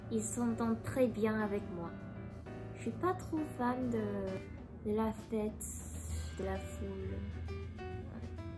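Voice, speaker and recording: A young woman from Taiwan, speaking French as an in a casual, hesitant chat while answering a quiz. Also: a little high. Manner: soft-spoken, hesitant, pauses to find words. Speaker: vlogger. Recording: background music under the voice.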